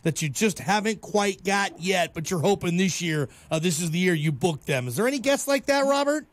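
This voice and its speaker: A man's voice: high-pitched, lively intonation, a little fast. A man hosting a sports talk radio show, chatting casually in a chummy, informal way. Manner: chummy, informal, calls guest "man" and "brother". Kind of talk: casual live radio chat. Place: radio studio.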